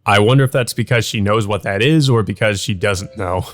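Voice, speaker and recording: Low-voiced man doing a let's play. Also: brisk, soft background music. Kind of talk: reading visual novel aloud with casual commentary.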